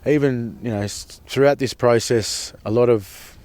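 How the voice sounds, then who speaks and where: A man's voice: medium pitch, measured pace, darkish tone. A man giving an earnest, impassioned personal account as a protester, often repeating and restarting his words. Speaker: protester at a sacred site camp.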